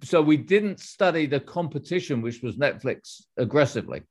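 A middle-aged man, a former executive and author, answering interview questions in a measured, explanatory way with frequent restarts. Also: on the high side, expressive, a little mellow, slightly rough.

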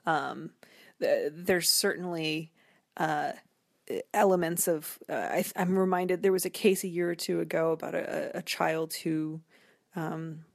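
Low-pitched woman, thoughtful and halting. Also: steady tone, creaky, dry recording, studio quality. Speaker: interview guest, writer. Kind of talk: conversational literary and cultural commentary.

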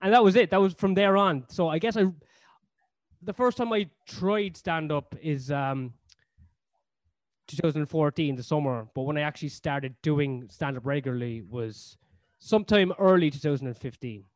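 A man's voice: on the high side, moderate pace, no echo. A man with a Cork Irish accent, a comedian telling stories in a casual interview, rambling with lots of fillers and casual swearing. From Ireland. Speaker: comedian guest.

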